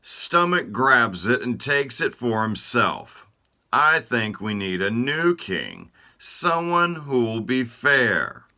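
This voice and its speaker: A man putting on peevish, hungry body parts from a children's storybook, whining loudly in a high voice. Their complaints come out pitched well above a man's low speaking voice, with an animated, sing-song rise and fall. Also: takes it slowly, full character voice. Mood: whiny. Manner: whiny, raised voice, louder than the narration.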